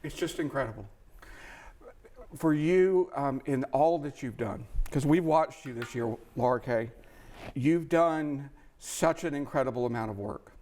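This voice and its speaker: A man's voice: medium pitch, a little slow, a little mellow. A man hosting a live fundraiser as emcee, speaking in an emphatic, effusive way with speech-like phrasing. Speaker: event emcee.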